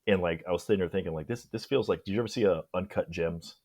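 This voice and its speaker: A man with a low, slightly rough voice appears as a podcast guest. He chats casually about a film, conversational and emphatic in his reactions. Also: fast talker, a little mellow, no room sound, clean studio sound. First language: English.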